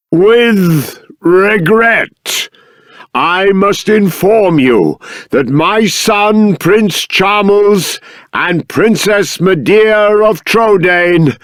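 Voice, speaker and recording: A man's voice: sits high, fairly bright tone, dry recording, studio quality, heavily acted. An actor playing a stern, dignified middle-aged king in a video game, with formal, regal, emphatic diction. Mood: loud, proclaiming. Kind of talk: dramatic game character dialogue.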